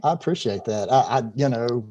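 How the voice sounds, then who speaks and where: A middle-aged man with a mellow, darker voice, heard over a video call from a room at home. He talks casually and rambles, stumbling over and repeating his words. He sounds like an easygoing guest in an online interview. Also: mid-range voice, animated, brisk, dull audio. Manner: talkative, stumbles and repeats words, laughs easily.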